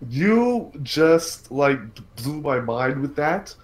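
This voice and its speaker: A man with a slightly high voice chats as a podcast co-host. His delivery is casual and conversational, like informal talk between friends. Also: very animated, extremely slow.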